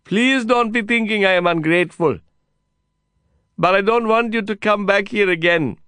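A man voicing a proud, desperate male prisoner, pleading loudly and insistently through a fence. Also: high-pitched, moderate pace, clear, resonant tone, dry recording, studio quality, pitched above the performer's own voice. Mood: grateful. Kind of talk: audiobook character voice.

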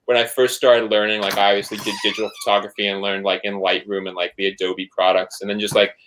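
A man's voice: lowish voice, flat delivery, fast talker, fairly bright tone, poor audio. Guest man, loose rambling talker, casual swearing, filler-heavy. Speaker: podcast guest, band member and photographer. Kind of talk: casual conversational interview.

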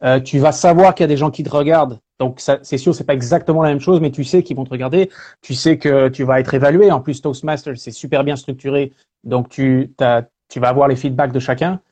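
Relaxed French man, mellow voice. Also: medium pitch, fairly quick. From France. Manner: relaxed, lots of hesitation fillers. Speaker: guest, speaker and singer. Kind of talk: casual interview talk.

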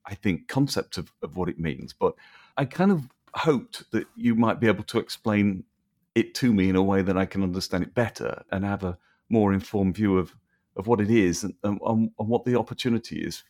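A man with a very deep voice hosts a nature podcast. He asks his questions in long, rambling stretches full of restarts. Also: moderate pace, darkish tone. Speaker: podcast host. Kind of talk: podcast interview.

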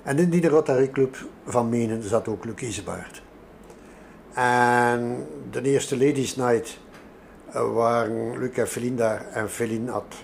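An older man with a Flemish accent, telling informal stories from his past in an animated way as an interview guest. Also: medium pitch, creaky, slight background noise. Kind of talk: informal spoken reminiscence.

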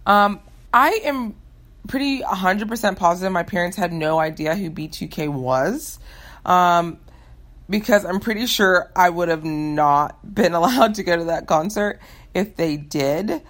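A woman's voice: fairly low pitch, expressive, a little slow, a bit bright. A young American woman hosting a casual, upbeat question-and-answer show, chatting informally to listeners. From United States. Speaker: host of a Q&A show.

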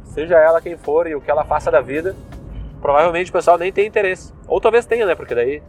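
Young gaúcho guy talking in his car, kinda high voice, slangy loose ramble, faint music behind. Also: fast. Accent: Gaúcho Brazilian Portuguese. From southern Brazil. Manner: loose slangy talk, many fillers. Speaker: podcast host. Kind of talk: casual rambling monologue. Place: inside a car.

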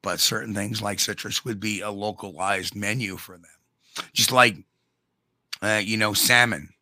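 A man with a New York accent chats on a live show in a folksy, blunt way. His voice sits low yet cuts bright and forceful, recorded close and dry. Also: fairly even intonation, unhurried, no room sound. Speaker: co-host. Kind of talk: casual podcast chat with live chat.

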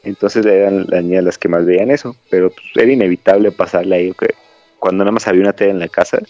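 A man's voice: deep voice, fairly even intonation, quick pace, a little mellow, no room sound, soft background music. A Mexican man hosting a podcast, chatting casually and digressing as he tells stories. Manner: rambling, storytelling, digressive. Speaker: podcast host. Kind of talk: casual chat. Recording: dry, slightly dull lo-fi audio.

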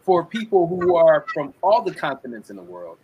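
Young man, guest on a video call, casual chat, animated storytelling, faint hum behind. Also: on the high side, moderate pace, rough recording. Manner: animated storyteller, acts out quotes. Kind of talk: casual interview talk.

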